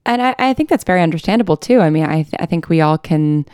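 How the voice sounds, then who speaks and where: A woman's voice: on the low side, lively intonation, fast talker, a little mellow, clear, resonant tone, no echo, studio quality. A woman speaking earnestly and thoughtfully in a conversational interview as a guest writer.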